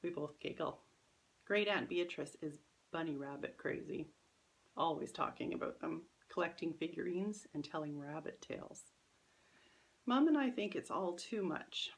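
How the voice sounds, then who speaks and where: A woman's voice: low voice, animated, moderate pace, vocal fry, poor audio. A woman reading a children's story aloud as its author, in scripted first-person narration.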